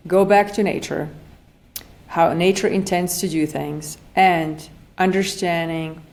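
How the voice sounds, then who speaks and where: Female podcast guest, late 40s, Slovak accent, low voice, earnest and emphatic conversational explainer. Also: fairly even intonation, slow, gravelly, darkish tone. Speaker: functional medicine practitioner, podcast guest.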